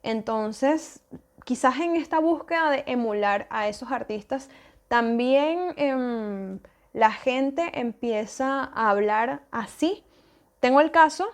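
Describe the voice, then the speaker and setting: A woman with a Venezuelan Spanish accent, hosting a podcast and talking in a thoughtful, casual way, with many fillers and restarts. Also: a little high. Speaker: podcast host. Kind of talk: casual solo podcast talk.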